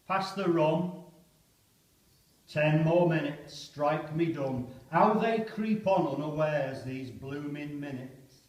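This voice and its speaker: A man performing a dramatic poem as a stunned, fatalistic British soldier of the First World War waiting to attack. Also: a little high. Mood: tense, defiant. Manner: dramatic declaiming with sudden loud shouted countdown calls. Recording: echoey church, distant microphone.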